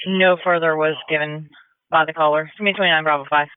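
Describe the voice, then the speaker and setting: High-voiced male radio dispatcher. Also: fairly even intonation, fast talker. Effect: two-way radio. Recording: muffled band-limited radio traffic recording.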